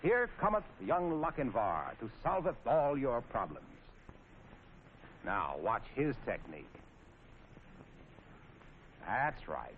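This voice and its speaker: A man's voice: medium pitch, big pitch swings, takes it slowly, pauses often. An American man narrating in 1940s training film style, wry, folksy and playfully sarcastic. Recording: scratchy, tinny 1940s film soundtrack with noise.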